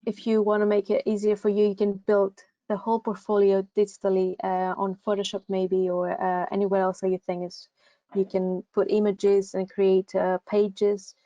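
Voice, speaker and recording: A Greek woman with a Greek accent, talking in English as her second language, gives casual advice with plenty of fillers and restarts. Her pitch stays very level. The sound is muffled and dry. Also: warm tone, dry recording. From Greece. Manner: hesitant, many fillers and restarts. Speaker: university recruitment assistant.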